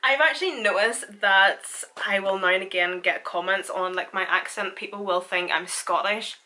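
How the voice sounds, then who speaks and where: A woman's voice: mid-range voice, moderate pace, pushed and bright, low-quality recording. A young woman with a Northern Irish accent, chatting casually through a makeup tutorial and laughing often. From Northern Ireland. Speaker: beauty vlogger.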